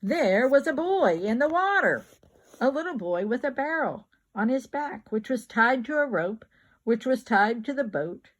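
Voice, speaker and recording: An older woman reading a children's picture book aloud like a grandmother, with expressive, drawn-out words and emphatic repetition. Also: on the high side.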